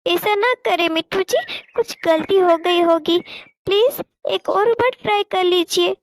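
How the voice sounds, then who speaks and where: A woman's voice: extremely high pitch, unhurried, no echo, pitched above the performer's own voice. A woman voicing an earnest, motherly little bird in a cartoon. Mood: pleading. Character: hardworking, gentle mother sparrow, worried and earnest.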